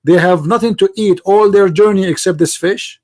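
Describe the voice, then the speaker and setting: A man with an Arabic accent argues in a heated debate, his voice sitting high. He is insistent and mocking, repeating the same question again and again to press his point. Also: normal speed, darkish tone. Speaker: host.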